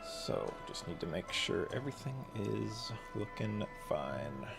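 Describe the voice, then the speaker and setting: Very deep, gravelly male streamer. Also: very animated, a little slow. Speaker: game streamer. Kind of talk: casual live stream commentary. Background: music.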